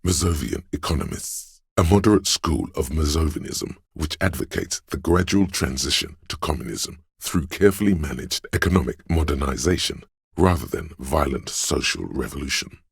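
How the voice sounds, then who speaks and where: Game role: erudite inner voice of pure knowledge, extremely low and husky, measured, pedantic narration. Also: male voice, animated, dry recording, clean studio sound, heavily acted. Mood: learned, measured. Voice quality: very deep, gravelly, husky.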